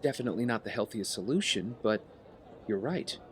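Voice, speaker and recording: A man's voice: on the low side, expressive, a little fast, a bit bright. A man playing an earnest young vigilante heir with a double life, sincere and reflective, in an audio drama.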